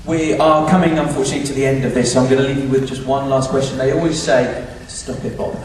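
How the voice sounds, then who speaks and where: A man's voice: mid-range voice, fairly even intonation, fast talker, darkish tone. A man hosting a live question-and-answer event, addressing the guests and the audience. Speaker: Q&A host. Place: large cinema auditorium on a PA. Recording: very echoey cinema, distant, faint noise.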